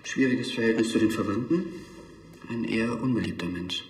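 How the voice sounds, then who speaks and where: A man's voice: lowish voice, fairly quick, a little hiss or hum, lightly acted. An actor playing a taciturn, solemn man in the funeral trade in a TV crime comedy, speaking tersely in an echoey hall. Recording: echoey hall, long reverb.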